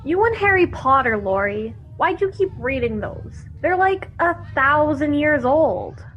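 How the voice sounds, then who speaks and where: A woman's voice: high voice, big pitch swings, unhurried, a bit bright, highs cut off, full character voice. A woman playing a cheeky kid in a sci-fi radio play, teasing others with a mocking drawl.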